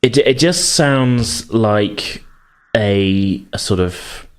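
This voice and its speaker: A man with a fairly low voice runs a tabletop roleplaying game. He improvises his narration in hesitant fragments, circling and qualifying as he describes each scene. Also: drawn-out words, clean tone. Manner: hesitant, heavily hedged, piecemeal phrasing. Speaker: game master.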